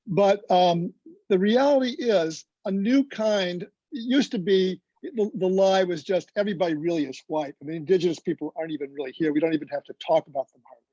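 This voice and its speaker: High-pitched, mellow man on a muffled call. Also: fairly quick, no room sound. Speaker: roundtable panelist. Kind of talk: reflective, preacherly discussion remarks. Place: video call. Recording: dull lo-fi video call.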